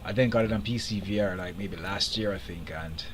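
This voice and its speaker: Chatty Jamaican streamer, deep voice. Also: male voice, brisk, over music. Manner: chatty, opinionated, laughs often. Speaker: game streamer. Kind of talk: casual live gameplay commentary.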